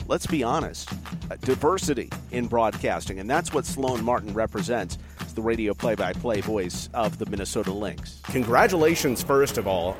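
Guy hosting a sports chat, upbeat and encouraging, average pitch, music playing behind him. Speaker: sports show host. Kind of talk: conversational interview.

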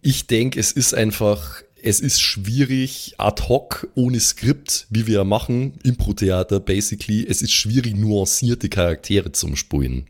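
A man's voice: fairly low pitch, unhurried, few pauses. A man chatting casually as a co-host, dropping in English slang and repeating phrases for emphasis. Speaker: podcast co-host. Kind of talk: casual chat.

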